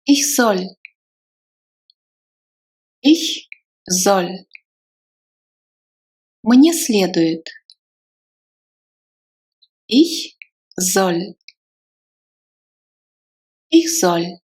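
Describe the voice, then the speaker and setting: A woman with a mid-pitched, slightly breathy voice leads a language drill at a very slow pace. She says each short phrase several times in a measured, patient way and follows it with a translation. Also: sing-song, darkish tone. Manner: repeats each phrase slowly, then gives the translation. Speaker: language teacher.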